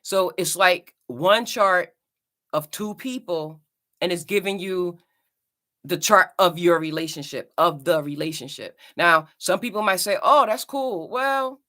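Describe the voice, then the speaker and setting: Jersey lady vlogging, chatty and repetitive, kinda bright crisp tone. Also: fairly low pitch, unhurried. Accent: New Jersey. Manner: chatty, loose and repetitive, many "you know" asides. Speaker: astrology vlogger. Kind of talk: casual teaching talk to camera.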